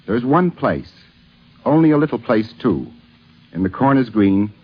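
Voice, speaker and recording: Low, husky man giving formal tribute. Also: dull audio. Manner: articulate, literary phrasing. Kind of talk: measured, formal spoken tribute.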